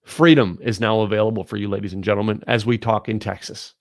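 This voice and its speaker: A man's voice: lowish voice, fairly even intonation, fast talker, no room sound, studio quality. Blunt, emphatic male podcast host. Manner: blunt, emphatic, preachy, repeats "ladies and gentlemen". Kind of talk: opinionated podcast monologue. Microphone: recorded on camera.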